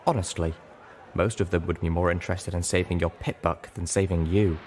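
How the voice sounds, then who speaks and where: A man's voice: low-pitched, steady tone, fairly quick, background noise, natural voice. A man voicing a worldly young pony mare who explains things frankly and matter-of-factly in an audiobook. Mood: explaining, frank. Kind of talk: audiobook character dialogue.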